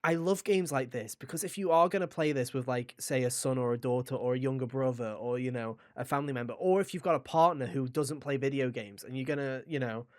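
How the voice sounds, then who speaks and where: A man's voice: medium pitch, fairly quick, few pauses, darkish tone. A man hosting a gaming podcast, talking casually in an enthusiastic, rambling way with some swearing. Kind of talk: casual video game discussion.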